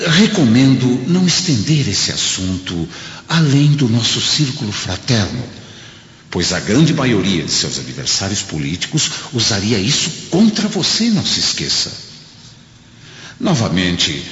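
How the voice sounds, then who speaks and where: A man acting in a radio drama as a pragmatic Roman nobleman, a loyal friend who advises in a measured, formal way. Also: mid-range voice, a bit bright. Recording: echoey hall reverb, dull audio.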